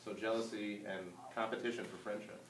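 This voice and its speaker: Low-voiced male teacher in an echoey room. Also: flat delivery, fairly quick. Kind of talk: classroom read-aloud with questions to pupils. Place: classroom. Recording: roomy distant mic with background noise.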